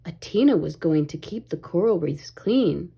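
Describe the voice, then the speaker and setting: A woman reading a children's story aloud in an expressive, animated way, as if to young listeners. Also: lowish voice, normal speed, gravelly, slightly rough. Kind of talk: expressive read-aloud narration, pausing to ask children questions.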